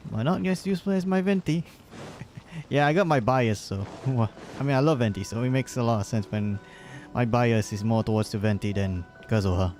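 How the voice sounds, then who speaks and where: A man's voice: mid-range voice, brisk, darkish tone, soft background music. A man doing casual livestream commentary over a game, rambling and repeating himself, often chuckling between phrases. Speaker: live streamer.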